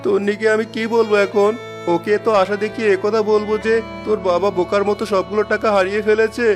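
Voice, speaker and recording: A man's voice: high-pitched, flat delivery, fast talker, music in the background, natural voice. A man voicing a humble poor father. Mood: distraught. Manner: humble, soft-spoken. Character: poor kind-hearted father, cart pusher.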